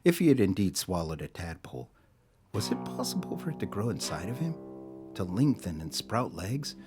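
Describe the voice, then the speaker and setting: A man narrating a scripted audio drama as a storyteller, with a measured, wry, theatrical delivery. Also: low voice, very animated, music in the background.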